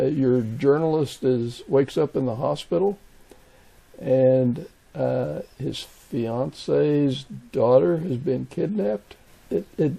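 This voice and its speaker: A man hosting a conversational podcast interview, phrasing his questions and comments haltingly. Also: a little high, vocal fry, warm tone, slightly rough.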